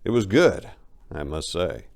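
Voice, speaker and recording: A man giving a dramatic reading of a first-person road story, narrating with emphatic swings in loudness. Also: low-pitched, very animated, unhurried. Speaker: audiobook narrator.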